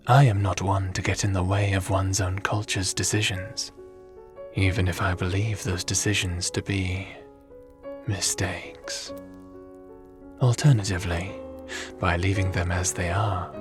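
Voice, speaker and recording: A man playing a courteous, scholarly traveling sage in a fantasy audio roleplay, speaking formally and thoughtfully. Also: low-pitched. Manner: polite, pondering, formal.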